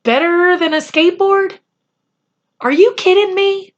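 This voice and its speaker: Woman voicing a high-pitched, incredulous schoolboy. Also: measured pace, highs cut off. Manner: incredulous, challenging questions. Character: skeptical, teasing schoolboy, incredulous best friend.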